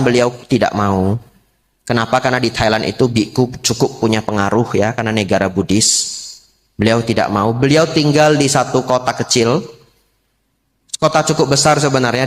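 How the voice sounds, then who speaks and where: A man preaching as a Buddhist monk, unhurried, repeating key phrases and posing rhetorical questions to lay listeners. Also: medium pitch. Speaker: Buddhist monk giving a Dhamma talk. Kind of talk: sermon to a lay congregation.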